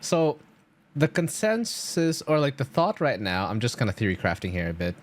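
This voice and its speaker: A man's voice: mid-range voice, sing-song, moderate pace. A man giving casual, rambling live commentary as a game streamer in informal, slangy speech.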